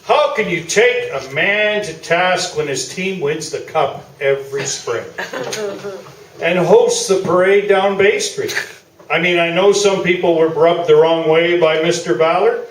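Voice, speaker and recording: An actor playing a smug, self-promoting veteran sports columnist, pompous and falsely modest, in a staged radio play. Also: male voice, a little high, unhurried, close to an everyday voice. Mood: smug, defensive. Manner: pompous, mock-sincere. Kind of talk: staged radio play interview before an audience. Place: small theatre, live staged performance. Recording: roomy distant stage recording with noise.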